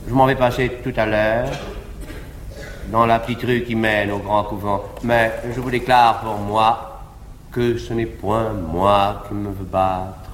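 An actor playing a proud, cynical, defiant libertine nobleman in classical French theatre, declaiming with cool and measured haughtiness. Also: on the low side, natural voice. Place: large theatre stage before an audience. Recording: echoey 1950s live theatre recording, faint noise.

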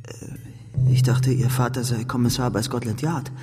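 A man's voice: mid-range voice, level tone, normal speed, lightly acted. A man playing an anxious, bitter, timid tutor in a radio crime drama, hesitant and aggrieved. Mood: nervous, aggrieved. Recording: reverberant hall, music underneath.

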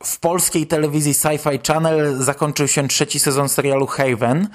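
A man's voice: on the high side, flat delivery, normal speed. A man hosting a podcast, presenting a news roundup in speech. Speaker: podcast host.

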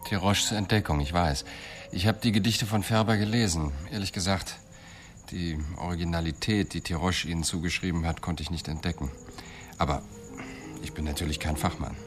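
Ein Schauspieler spielt im Hörspiel einen gefassten Polizeiermittler, der bedächtig und forschend fragt. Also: male voice, low-pitched, faint music behind, lightly acted. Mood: calm, probing. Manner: measured, probing questions. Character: composed, probing male police detective. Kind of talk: radio drama dialogue.

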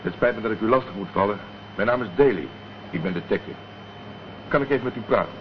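A man's voice: lowish voice, animated, fast. A man playing a polite, probing private detective in a Dutch radio drama. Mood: businesslike, polite. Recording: dull, noisy old radio recording.

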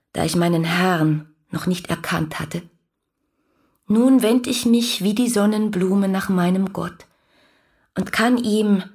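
An actress playing an ardent, dreamy young woman in a German radio play, effusive and lyrical in a breathy voice. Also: medium pitch. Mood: rapturous longing. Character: ardent, dreamy young woman writing rapturous letters about her idol. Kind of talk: German radio play, literary letter monologue.

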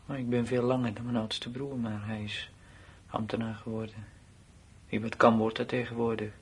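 An actor playing a weary, anxious twenty-one-year-old soldier who broods and mutters in a radio drama. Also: male voice, little pitch movement, moderate pace, slightly rough. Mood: plain, resigned. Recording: noisy old radio play recording with rumble.

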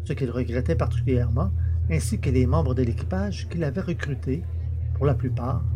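A man's voice: medium pitch, noisy background. A man narrating a science-fiction audio story in a scripted, literary storytelling style.

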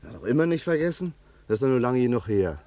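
A man plays a plain-spoken, wry friend in a radio play. His mid-pitched voice moves in lively swings, colloquial and blunt yet reassuring, in a dry recording. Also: fast, no room sound, close to an everyday voice. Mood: coaxing. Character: down-to-earth, fatherly-familiar male friend, blunt and reassuring. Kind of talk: radio drama acting.

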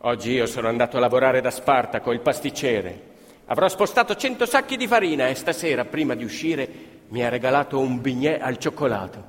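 A man's voice: medium pitch, a little fast, close to an everyday voice. An actor playing a poor, playful working-class boy of the 1930s in live radio theatre. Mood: teasing, boastful. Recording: hall reverb, live stage performance.

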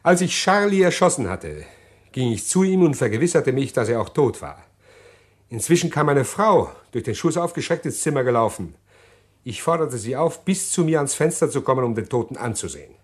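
A man acting in a German radio crime drama, playing a desperate, cold-blooded condemned killer who confesses in deliberate detail and slips into agitated pleading. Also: medium pitch, sing-song, close to an everyday voice. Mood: cold, intent confession.

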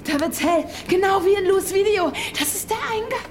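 Very high-voiced, bossy young backpacker. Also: female voice, fairly quick, slight background noise. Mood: impatient, determined. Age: young adult. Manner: bossy, urging, slangy. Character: bossy, daring, driven young backpacker woman. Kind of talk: radio play acting.

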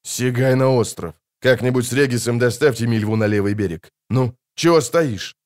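A man's voice: mid-range voice, normal speed, smooth, lightly acted. A man voicing a grim, impatient monster hunter in an audiobook, muttering through clenched teeth. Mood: grim, terse.

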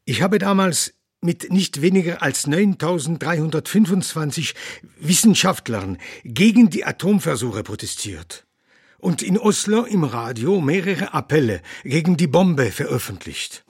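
An actor playing an elderly, outspoken humanitarian doctor in a radio play, husky and deliberate, with dry humour. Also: male voice, a little high, expressive, dry recording, exaggerated voice. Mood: grave, indignant. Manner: deliberate, opinionated, dryly humorous. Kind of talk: radio drama in the form of a talk show interview.

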